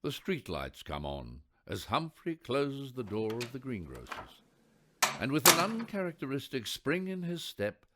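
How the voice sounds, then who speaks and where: A man's voice: mid-range voice, wildly swooping pitch, measured pace, noisy background. A man reading scripted narration for a radio play.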